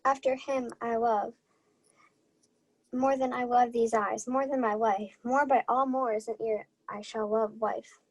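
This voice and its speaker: An earnest young page, devoted and sincere, played by a young woman with a high voice. The lines are acted as Shakespeare in an old-time radio play. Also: steady tone, unhurried, lo-fi sound. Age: young adult.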